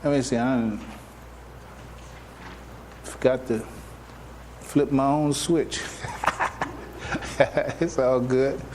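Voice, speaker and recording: A man giving a religious lecture as an imam, conversational and halting, repeating phrases and checking in with his listeners. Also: mid-range voice, fairly even intonation, darkish tone, slight background noise. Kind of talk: religious lecture, explaining to an audience.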